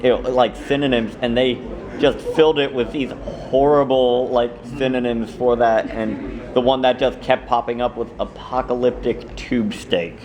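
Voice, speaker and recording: A man casually telling an anecdote in a halting way, full of fillers. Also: mid-range voice, steady tone. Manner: halting, filler-heavy storytelling. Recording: clear crowd noise behind.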